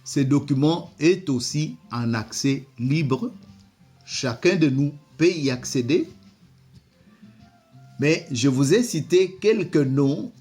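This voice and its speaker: A man's voice: a little high, animated, slow pace, a little mellow, slightly rough, soft background music. A man, not a native French speaker, giving commentary and reading from an old document, hesitant with many repeated words. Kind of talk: slow spoken commentary reading from a book.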